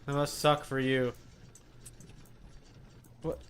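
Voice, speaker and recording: A man's voice: medium pitch, little pitch movement, slow pace, fairly bright tone. A man streaming a video game with casual commentary, muttering asides to the characters as he plays. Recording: faint background game noise.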